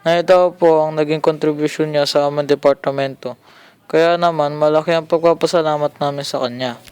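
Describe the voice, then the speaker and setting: A man's voice: on the high side, steady tone, fairly quick, darkish tone, clean tone, no echo, natural voice. A young man with a Filipino accent playing an earnest, respectful student activist in an amateur radio drama. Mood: respectful, sympathetic.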